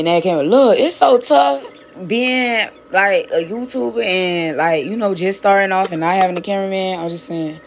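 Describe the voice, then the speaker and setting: A woman's voice: fairly low pitch, a little mellow, slight background noise. A young woman from Miami chatting casually and slangily while she prepares fish in her home kitchen. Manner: chatty, slangy, repeats words, talks while cooking. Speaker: cooking vlogger. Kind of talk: casual cooking vlog chat.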